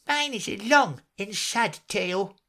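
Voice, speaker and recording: A man voicing a proud, easily offended mouse in a storybook reading, severe and sharp. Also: very high-pitched, big pitch swings, measured pace, pitched above the performer's own voice, heavily acted. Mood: indignant.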